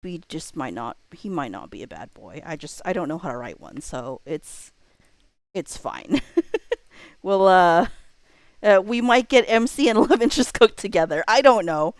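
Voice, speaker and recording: Female streamer, lower register, informal and rambling, self-interrupting, thinking aloud, dry recording. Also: sing-song, moderate pace, no room sound. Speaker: livestream host. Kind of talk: casual livestream chat, thinking aloud.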